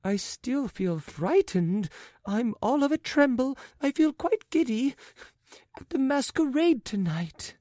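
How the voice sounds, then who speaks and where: A woman's voice: sits high, big pitch swings, slow pace, dark tone, dry recording, studio quality, full character voice. A woman voicing a flighty, chattering society lady with breathless, fluttery speech. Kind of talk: audiobook character voice.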